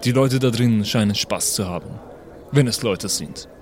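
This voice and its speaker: A man playing an improvised tabletop role-playing game casually, haltingly searching for his words. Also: fairly low pitch, slight background noise. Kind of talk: casual improvised in-character play.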